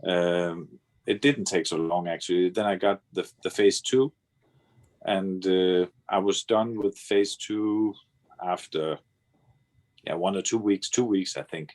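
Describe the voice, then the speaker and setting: A man from Denmark speaking English with a Danish accent, his native language being Danish, telling his story haltingly on a video call as a guest. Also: low voice, a little mellow. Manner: hesitant, many fillers, chuckles now and then. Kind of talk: casual video call interview.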